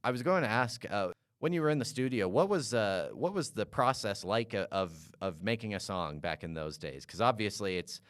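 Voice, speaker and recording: A man hosting a casual music interview, phrasing his questions in a hesitant, halting way. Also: lowish voice, animated, fairly bright tone, smooth, dry recording, clean studio sound. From Calgary, Canada. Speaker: interviewer, podcast host.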